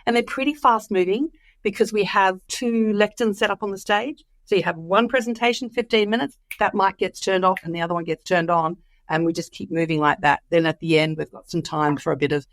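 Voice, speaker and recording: A woman's voice: medium pitch, fairly even intonation, brisk, dry recording. A woman, recovering from the flu so her voice comes and goes, chatting enthusiastically as a guest about a conference. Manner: enthusiastic, rambling with many fillers. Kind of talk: casual interview chat.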